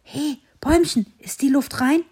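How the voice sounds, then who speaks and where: A woman voicing a big, cheeky male dog who is quick to take offence, in a children's story. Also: a little high, voice pushed up. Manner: excited, indignant exclamations.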